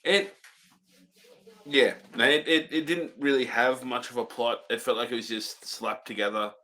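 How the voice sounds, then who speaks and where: An easygoing young man chats as a review co-host and laughs readily. When he reads trivia from his notes, he keeps his ordinary middle pitch. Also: fairly even intonation, normal speed, bright, forceful tone. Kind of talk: casual review chat.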